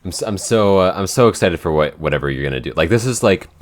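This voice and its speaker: A man's voice: low-pitched, lively intonation, fast talker. A man co-hosting a casual Q&A chat, speaking in an enthusiastic, informal and sweary way. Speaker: podcast co-host and show creator.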